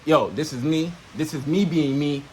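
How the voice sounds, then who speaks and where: High-pitched man, slangy outdoor vlog talk. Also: normal speed, dark tone, a little hiss or hum, low-quality recording. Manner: streetwise, slangy, frequent swearing; punctuates points with checking questions to the listener. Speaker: self-help vlogger. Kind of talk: casual unscripted monologue, teaching to camera. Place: outdoors in nature.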